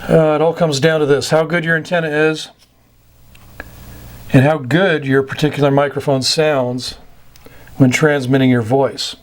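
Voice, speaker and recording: A man giving an informal explanatory lesson as an instructor, deliberate and halting, with long pauses. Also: fairly high pitch, fairly even intonation.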